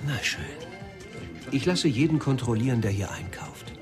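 Police captain male actor: menacing, sarcastic interrogator with a medium-pitched voice, radio drama style, music underneath. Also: lively intonation, moderate pace, close to an everyday voice. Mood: cold, smug. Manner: sarcastic, pressing, officious. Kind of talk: German radio documentary drama acting.

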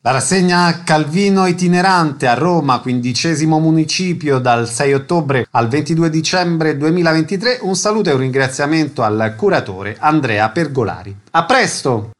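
A man's voice: a little high, normal speed, hardly any pauses. A man working as a radio interviewer, putting questions and reading out event details.

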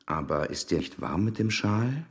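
An actor playing a middle-aged, insinuating, predatory shopkeeper who talks in a casual, coaxing, familiar way in a period radio drama. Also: male voice, lowish voice, expressive, normal speed, breathy edge.

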